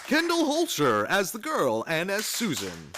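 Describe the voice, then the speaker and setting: A man's voice: sits high, wildly swooping pitch, measured pace, a crowd in the background, noisy background. A man reading scripted credits as a radio announcer, recorded live in a theater. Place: theater stage, live performance.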